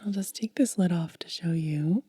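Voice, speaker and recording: A woman doing a whispered ASMR unboxing, breathy and gentle, lingering over her words close to the microphone. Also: fairly low pitch, normal speed, no room sound. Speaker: ASMR host.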